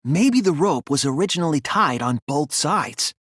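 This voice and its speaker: A man voicing an earnest young apprentice detective in a dramatic anime video game, reasoning with deliberate intensity. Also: on the high side, lively intonation, fairly bright tone, husky, no room sound, studio quality. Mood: urgent, realizing. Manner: earnest, deliberate, deductive, sometimes hesitant.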